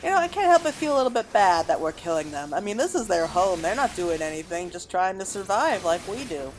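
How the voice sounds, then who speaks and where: A woman's voice: medium pitch, big pitch swings, fairly quick, music in the background, poor audio. A woman giving casual let's play commentary as a game streamer, thinking aloud and reacting as she plays.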